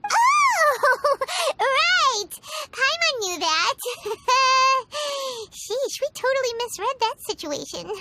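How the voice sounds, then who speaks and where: A woman voicing a tiny, childlike, excitable fairy companion in a video game, with a squeaky, chirpy voice. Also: very slow, heavy background noise, full character voice. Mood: cheeky, flustered. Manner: refers to self in third person, exclamatory. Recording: clean studio voice under loud game music. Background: loud tinkly children's-style game music.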